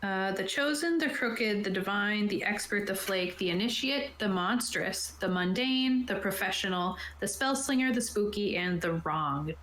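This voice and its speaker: A woman's voice: mid-range voice, animated, normal speed, few pauses, fairly bright tone. A woman casually explaining tabletop game rules and reading rulebook passages aloud, as a game master on an online call. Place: indoor room, online video call. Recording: roomy, echoey home recording.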